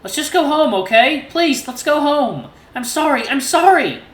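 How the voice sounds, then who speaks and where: A man voicing a frantic young furry animal pleading through sobs, in a shrill, hoarse voice. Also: lively intonation, moderate pace, roomy sound. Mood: desperate pleading.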